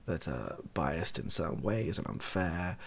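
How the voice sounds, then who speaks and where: British guy talking softly, husky voice, rambles and hedges his opinions, pitch wanders around a lot. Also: expressive, slow. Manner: soft-spoken, hesitant and rambling. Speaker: online video creator. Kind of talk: softly spoken improvised opinion monologue.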